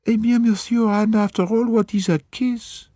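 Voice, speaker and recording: A man's voice: high voice, fairly even intonation, normal speed, dark tone, no room sound, clean studio sound, voice pushed up, lightly acted. A man voicing a pert young French maid with a French accent, chatty and coquettish. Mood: coy.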